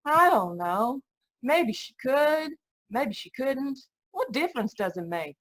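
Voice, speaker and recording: Woman acting a breezy, high-voiced girl hitchhiker. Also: sing-song, slow, full character voice. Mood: puzzled, dismissive. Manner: casual, slangy, blunt. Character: breezy, wisecracking young female hitchhiker. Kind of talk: old-time radio drama acting. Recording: live video-call performance.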